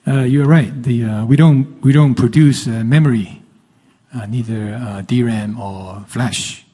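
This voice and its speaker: A male company executive giving unscripted answers on an earnings call, halting and careful, repeating words as he goes. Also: mid-range voice, fairly even intonation, mellow, husky. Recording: echoey hall-like conference call sound.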